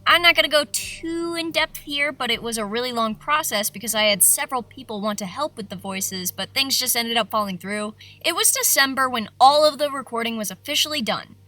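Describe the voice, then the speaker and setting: A woman with a squeaky, youthful voice narrating her video in a casual, chatty, self-deprecating way. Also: a little fast, hardly any pauses, pushed and bright. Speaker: video creator. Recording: faint music behind the voice.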